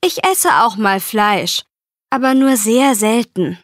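Actress for a young Polish woman, textbook reading, slow and dry. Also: a little high, lively intonation, no room sound. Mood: plain. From Poland. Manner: slow, careful, clear delivery. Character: young Polish woman who cannot cook. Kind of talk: scripted textbook monologue.

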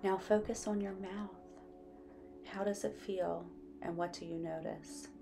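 A woman narrating a guided meditation in a gentle, soothing, unhurried manner. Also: mid-range voice, fairly even intonation, creaky, music in the background.

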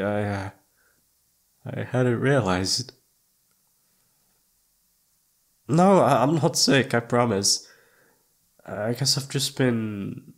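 A man playing a shy, flustered young incubus roommate in an ASMR roleplay, hesitant and awkward, often trailing off. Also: mid-range voice. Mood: nervous, evasive. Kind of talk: ASMR roleplay audio drama.

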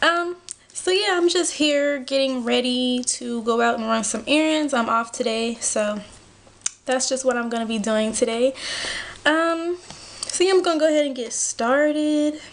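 A woman's voice: sits high, measured pace. Chatty young woman vlogging from her bedroom. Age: 20s. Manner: chatty, animated, lots of "like" and "you know". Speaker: beauty vlogger. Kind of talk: casual chit-chat while doing makeup. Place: bedroom at home. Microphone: built-in camera mic at close range.

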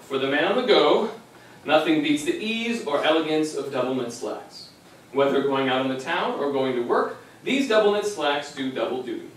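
A man's voice: a little high, expressive, moderate pace, natural voice. A young man acting an affectionate, self-conscious grandson in a theatrical memory play, telling family stories to an audience. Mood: modest, earnest. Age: young adult. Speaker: stage actor. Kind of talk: theatrical narration and dialogue before an audience. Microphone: far camera microphone. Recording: echoey theater, distant mic, faint noise.

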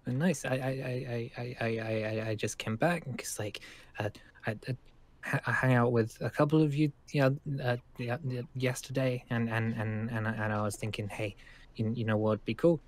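A man playing a nervous, friendly young survivor in an improvised game roleplay, speaking in halting, rambling stammers. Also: medium pitch, steady tone, close to an everyday voice. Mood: nervous, eager. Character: nervous, friendly young male zombie-apocalypse survivor living at a school.

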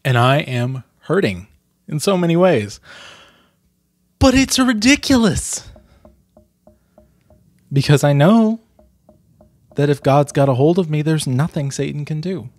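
A man's voice: fairly high pitch, lively intonation, unhurried, long pauses, no room sound. A man in his thirties speaking as a vlog host and storyteller, earnest and emphatic, close to a desk microphone. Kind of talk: storybook reading and candid commentary. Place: small plain room indoors.

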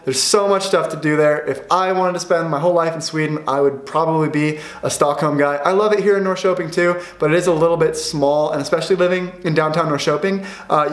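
A young American man hosting a casual vlog Q&A from a small room at home, upbeat and chatty, gesturing as he talks. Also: on the high side. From United States. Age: young adult. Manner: upbeat, chatty, gestures a lot with his hands. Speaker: vlogger. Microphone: camera in front, head and shoulders framing. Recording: roomy echo.

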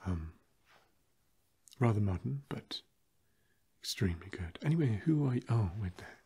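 A man playing a pompous, fussy aristocratic vampire, theatrical and archaic, in a soft ASMR roleplay. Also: lowish voice, lively intonation, moderate pace, breathy edge, exaggerated voice. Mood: fussy, condescending. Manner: theatrical, archaic, hesitant asides.

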